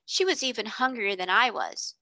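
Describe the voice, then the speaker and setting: A woman's voice: a little high, lively intonation, normal speed, dry recording, clean studio sound, voice pushed up. Sarah, a woman doing a kind earnest young girl. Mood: eager. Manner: gentle, polite and sincere.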